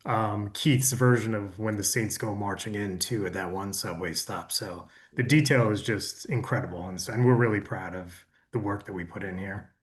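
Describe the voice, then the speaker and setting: Lowish-pitched man explaining details. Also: moderate pace, hardly any pauses. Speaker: audiobook producer. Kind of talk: explanatory panel talk.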